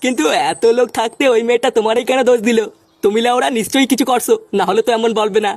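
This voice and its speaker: A performer voicing a bossy, blustering older village headman in a comedy cartoon, scolding and swearing in rural Bengali dialect, with a pitch-shifted effect. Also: steady tone, very fast, no room sound. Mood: probing. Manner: pompous, scolding, foul-mouthed.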